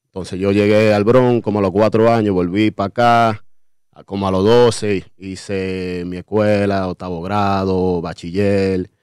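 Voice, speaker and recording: A man's voice: deep voice, fairly even intonation, measured pace, a little mellow, clear, resonant tone, dry recording. A Dominican man in his thirties, a guest artist chatting humbly in a radio studio, with lots of fillers and restarts. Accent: Dominican Spanish. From Dominican Republic. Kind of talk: casual interview chat. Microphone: desk mic with headphones.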